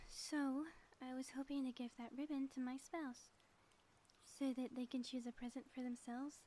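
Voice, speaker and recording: A woman's voice: sits high, level tone, moderate pace, dark tone, natural voice. A woman playing a theatrical, lovestruck ex-supervillain in an audio roleplay, speaking in formal, grandiose phrases. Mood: tender, earnest.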